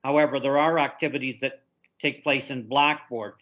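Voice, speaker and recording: A man's voice: mid-range voice, steady tone, normal speed, fairly bright tone. Slightly muffled male instructor on a call. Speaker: university instructor. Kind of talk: informal step-by-step practical advice to students. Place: conference call. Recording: slightly dull conference-call audio.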